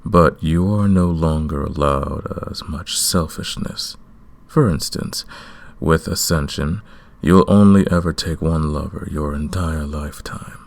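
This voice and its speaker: A man playing a courtly, commanding, lovestruck vampire king in a romantic ASMR roleplay, speaking in a formal, deliberate way. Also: very deep voice, vocal fry, exaggerated voice. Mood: solemn, regal.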